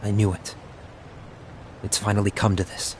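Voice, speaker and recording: A man's voice: fairly low pitch, little pitch movement, moderate pace, noisy background, close to an everyday voice. An actor playing a troubled, brooding young man who guards a secret in a fantasy game. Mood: grave, uneasy. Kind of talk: animated fantasy game cutscene acting. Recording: music behind the voice.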